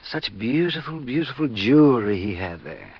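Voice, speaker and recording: A man's voice: medium pitch, big pitch swings, moderate pace, slightly rough, no room sound. An actor playing a fussy, rambling, easily sidetracked male collector in a 1950s radio drama, dithering and fawning. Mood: excited, dreamy. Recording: dull vintage radio recording.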